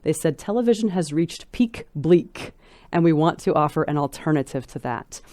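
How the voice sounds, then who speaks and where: A woman speaking as a scholarly interview guest, articulate and precise, phrasing her thoughts in a measured, thoughtful way. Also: low-pitched, lively intonation, mellow, no echo.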